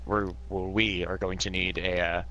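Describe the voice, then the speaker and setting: A man playing in a tabletop game, talking in a relaxed, joking way. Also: sits low, moderate pace, dull audio, a little hiss or hum. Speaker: player. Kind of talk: casual tabletop game chat.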